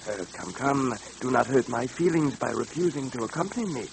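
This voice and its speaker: Creepy caped mystery man, gravelly n super polite but menacing, old radio show w some hiss. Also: medium pitch, expressive, a little fast. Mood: silky threat. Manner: theatrical, taunting, formal courtesy. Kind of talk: old-time radio drama acting. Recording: noisy old radio recording, hum.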